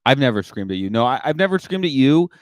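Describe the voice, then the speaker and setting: A man's voice: medium pitch, very animated, fast talker, dry recording. A young man hosting a comedy podcast, rambling casually with lots of filler words and self-deprecating asides. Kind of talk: casual chat.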